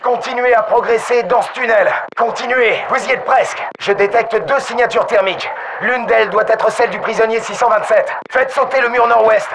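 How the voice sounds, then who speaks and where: Terse, commanding special forces soldier. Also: male voice, extremely high pitch, normal speed, fairly bright tone, slight background noise. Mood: focused, brisk. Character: gruff, focused male special forces soldier. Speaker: video game voice actor. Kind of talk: military game dialogue, radio callouts.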